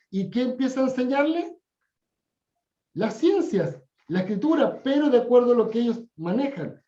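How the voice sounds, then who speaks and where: A teacher lectures a Bible class slowly and deliberately, circling back to repeat phrases and fix false starts. The voice is mellow rather than bright. It was captured in a reverberant room on a dull, lo-fi recording. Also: medium pitch. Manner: repeats phrases, frequent self-corrections. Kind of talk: classroom lecture on scripture history.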